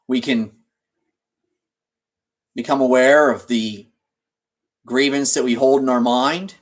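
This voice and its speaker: A man leading a guided meditation in an instructional way, speaking deliberately in short phrases with pauses mid-sentence. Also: mid-range voice, steady tone.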